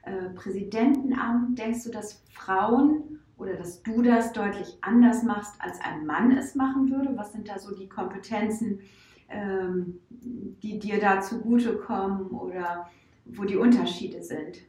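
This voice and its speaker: A woman's voice: mid-range voice, a little slow, darkish tone, roomy sound. A woman hosting a podcast, asking questions in a friendly, encouraging manner. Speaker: podcast host. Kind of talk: podcast interview.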